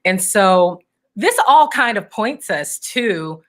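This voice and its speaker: Woman host running a money interview, smooth presenter style, middle pitch. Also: very animated, slow. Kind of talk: scripted then conversational interview hosting.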